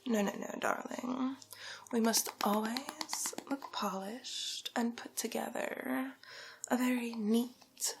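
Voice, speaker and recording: A woman playing a haughty, condescending, luxury-obsessed villainess in an ASMR roleplay, with a drawling, theatrical delivery that shifts between breathy whisper and soft creaky speech. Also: a little high, slow, background noise. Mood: snobbish, haughty. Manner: drawling, theatrical, condescending, calls listener darling.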